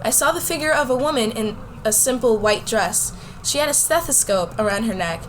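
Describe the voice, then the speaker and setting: A woman plays a competitive high school girl telling her story in a radio play. Her voice sits a little high and her delivery is casual and wry. A faint noise runs behind her. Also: animated, normal speed, close to an everyday voice, low-quality recording. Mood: narrating. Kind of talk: scripted first-person radio drama narration.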